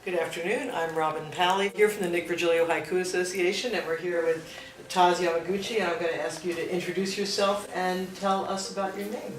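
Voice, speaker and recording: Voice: mid-range voice, fairly even intonation. Interviewer asking careful questions, sounds far from the mic, echoey, music in back. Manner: polite, measured questioning. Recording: roomy echo, distant mic, music.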